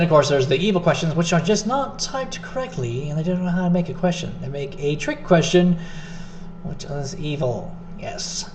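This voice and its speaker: A man casually talking to the camera in a vlog, rambling with many fillers and self-corrections, his voice getting hoarse. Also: high-pitched, slight background noise. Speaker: gaming video host. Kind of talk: casual unscripted vlog talk.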